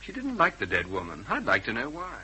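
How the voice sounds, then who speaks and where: An actor playing a shrewd private detective in a radio drama, measured, probing and authoritative. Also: male voice, medium pitch, wildly swooping pitch, bright, forceful tone, a little hiss or hum, full character voice. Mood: brisk, assured.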